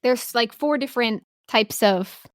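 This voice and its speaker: A woman's voice: on the high side, unhurried, dry recording, studio quality. A woman casually running a tabletop roleplaying game as game master, explaining things informally with a giggly manner.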